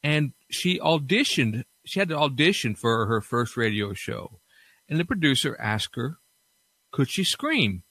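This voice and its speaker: A man hosts a podcast in an informal radio style. His mid-pitched voice is lively in tone but unhurried and halting, recorded very dry. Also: no echo. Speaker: podcast host. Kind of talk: informal scripted radio-show hosting.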